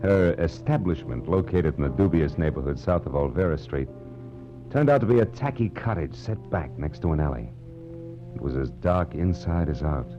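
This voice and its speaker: An American actor playing a world-weary, wry private detective in 1940s radio drama style, with deliberate, hard-boiled delivery. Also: male voice, a bass voice, lively intonation. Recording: dull 1940s radio broadcast, music behind.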